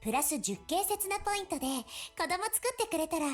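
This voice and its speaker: A woman's voice: extremely high pitch, big pitch swings, very fast. A woman voicing a teasing, haughty young lady in an anime-style visual novel. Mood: playful teasing. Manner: playful, teasing, mock-imperious. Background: game music.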